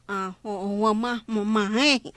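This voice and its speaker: A woman playing a nosy, animated female villager who drawls and exclaims in an Ilocano comedy radio drama. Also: medium pitch, slow, no room sound. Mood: curious.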